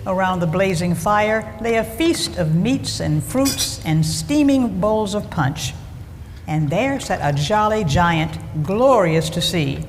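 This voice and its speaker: An actress narrating a Christmas tale in a 1940s-style radio play, with expressive, scripted storytelling. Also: female voice, medium pitch, takes it slowly, a little hiss or hum. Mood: vivid, festive. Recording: reverberant hall, loud music underneath.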